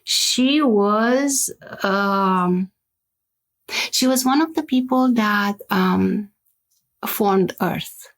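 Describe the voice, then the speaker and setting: A woman from Romania speaks with a Romanian accent and a gravelly edge to her voice. She explains her practice at length as an interview guest, checking in with "right?" after each point. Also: mid-range voice, drawn-out words.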